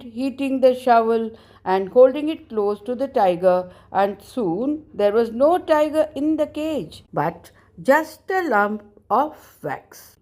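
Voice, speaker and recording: A woman narrating a scripted folk tale in a measured, deliberate storytelling manner. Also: high-pitched, a little mellow.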